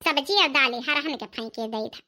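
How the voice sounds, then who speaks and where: Squeaky, defiant young Awadhi wife played by a woman. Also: moderate pace, pushed and bright. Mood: dismissive. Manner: dismissive, back-talking. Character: defiant, carefree young village daughter-in-law obsessed with reels. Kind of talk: cartoon comedy dialogue.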